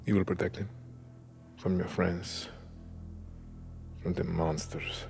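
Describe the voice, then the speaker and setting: An actor playing a cold, ailing middle-aged dictator in a game, with a Latin American Spanish accent, measured and commanding, sometimes sinking to a breathy murmur. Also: male voice, low-pitched, little pitch movement, full character voice. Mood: cold, menacing. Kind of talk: dramatic video game acting. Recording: loud music behind.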